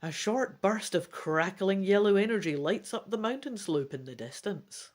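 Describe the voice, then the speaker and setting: A woman's voice: mid-range voice, normal speed. A woman reading a gamebook aloud dramatically and expressively, with casual commentary as she plays. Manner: theatrical, expressive. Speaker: gamebook reader and player.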